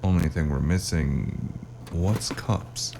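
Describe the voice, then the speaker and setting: A man playing a wry, weary hard-boiled investigator who narrates and talks in a cyberpunk noir audio drama, with a deep gravelly voice. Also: slow pace, background noise, exaggerated voice. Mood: musing, wry. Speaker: narrator and lead character.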